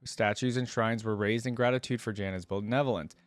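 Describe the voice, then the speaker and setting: A man's voice: lowish voice, fairly even intonation, fairly quick, no room sound. A man hosting a reaction video, moving between casual, curious commentary and reading lore aloud in a conversational way.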